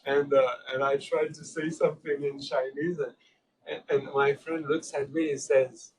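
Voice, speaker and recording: A man with a French accent, native French speaker from Switzerland, giving a thoughtful, halting talk as guest lecturer in an informal discussion. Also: mid-range voice, level tone. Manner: thoughtful, halting, many restarts. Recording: distant mic.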